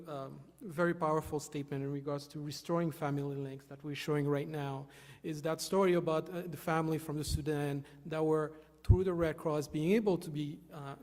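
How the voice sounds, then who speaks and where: A man from Haiti, giving an earnest, halting stage talk with many fillers. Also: a little high, darkish tone. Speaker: volunteer speaker. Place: hall with an audience. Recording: long reverb of a large hall.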